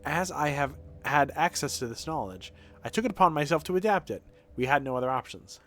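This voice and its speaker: A man voicing a proud, grave young aristocratic heir from a video game, speaking in a formal, measured, solemn way. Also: fairly high pitch, lively intonation, a bit bright. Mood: explaining. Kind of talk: dramatic reading of game dialogue. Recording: game music behind the voice.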